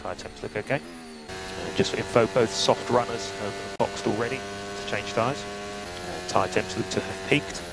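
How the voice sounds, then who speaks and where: A man's voice: lowish voice, a little slow. A man working as a race engineer, giving clipped, procedural updates over team radio. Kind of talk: terse race radio updates, calm reporting. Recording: noisy team radio, humming engine noise. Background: loud hum and vehicle noise.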